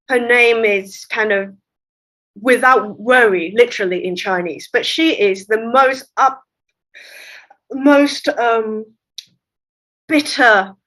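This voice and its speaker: A woman translator whose first language is Cantonese, explaining her work at length in a relaxed interview, with light laughs. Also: mid-range voice, low-quality recording. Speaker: literary translator, guest. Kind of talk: conversational interview.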